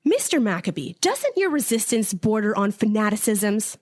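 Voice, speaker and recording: A woman's voice: mid-range voice, sing-song, moderate pace, a little mellow, dry recording. A woman playing a formal, challenging news interviewer in old-time radio style.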